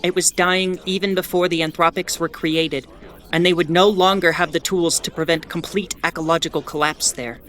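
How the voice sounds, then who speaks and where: A woman's voice: fairly low pitch, steady tone, normal speed, fairly bright tone, natural voice. A woman playing a wry, defiant bulletin host aboard a spaceship, narrating a scripted audio drama in a conversational, explanatory way. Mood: solemn, storytelling. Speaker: host of an in-world radio bulletin.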